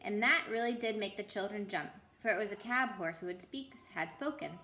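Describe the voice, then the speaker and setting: A woman's voice: medium pitch, expressive, brisk, pushed and bright, reverberant, a little hiss or hum. A woman reading a children's book aloud expressively as a storyteller, with the odd stumble.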